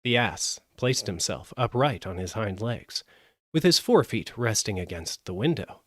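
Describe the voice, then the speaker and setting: A man narrating a fairytale as a live audiobook, with an expressive, measured delivery. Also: lowish voice, dry recording, studio quality. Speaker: storyteller.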